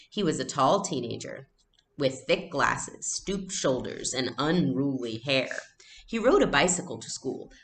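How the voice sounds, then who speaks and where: A woman teacher reading a storybook aloud in an expressive, explanatory way. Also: deep voice, slight background noise.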